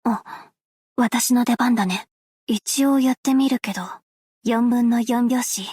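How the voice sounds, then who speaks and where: An actress playing a gentle, self-effacing young woman in a video game, soft and whispery, with a hushed, measured, wistful delivery. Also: a little high, husky, no echo, clean studio sound. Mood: composed, soft. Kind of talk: game character voice lines.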